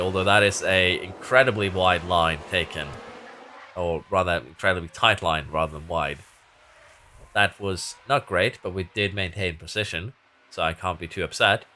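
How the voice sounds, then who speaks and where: Very low, stuffy-nosed man commentating on gameplay. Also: pushed and bright. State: stuffy nose from congestion. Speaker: gaming video host. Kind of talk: casual game commentary.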